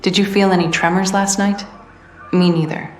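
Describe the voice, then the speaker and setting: A woman playing a tough, weary resistance veteran who hands out missions in a video game, speaking plainly and in a measured way. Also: mid-range voice, flat delivery, darkish tone, echoey room, soft background music, close to an everyday voice. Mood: firm, matter-of-fact. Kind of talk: video game character dialogue.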